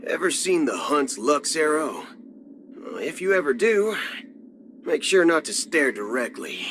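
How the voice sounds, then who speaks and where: An actor playing a cocky, folksy cyborg cowboy outlaw in a video game, with a Southern American drawl. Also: male voice, a little high, very animated, unhurried, fairly bright tone, slight background noise. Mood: wry, folksy. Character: swaggering cyborg cowboy gunslinger outlaw, adult male. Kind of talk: video game character voice lines. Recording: music under the voice. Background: background music.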